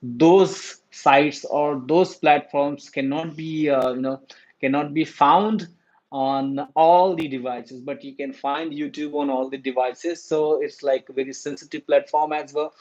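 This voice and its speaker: A man's voice: on the high side, fairly even intonation, measured pace, darkish tone. A man from India with an Indian accent, talking casually about current affairs to his viewers, hesitant with many fillers and restarts. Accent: Indian English. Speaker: YouTube commentator. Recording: dull lo-fi recording.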